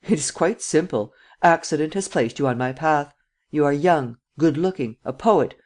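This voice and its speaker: Male reader as commanding prince, sardonic, deliberate, imperious, persuasive, audiobook villain-hero. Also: fairly high pitch, darkish tone, natural voice. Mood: coolly persuasive. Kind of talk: dramatic audiobook character acting.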